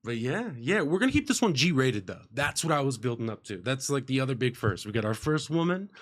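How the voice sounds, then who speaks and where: A young man from the United States hosts a podcast from a radio station studio, talking into a studio mic. He rambles in an easygoing, upbeat way and leans on fillers as he goes. His voice sits at an ordinary middle pitch for a man. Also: very animated, fairly quick. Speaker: podcast host. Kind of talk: casual chat. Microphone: studio microphone with headphones.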